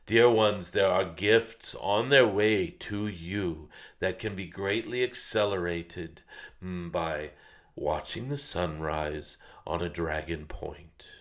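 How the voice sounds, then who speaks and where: An ancient, wise dragon spirit, channeled by a man, gives a spiritual message to humanity. The voice is fairly low and very slow. It is solemn and gentle, like a guided meditation, with murmured hums in the pauses. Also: steady tone, heavily acted. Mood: serene, reverent.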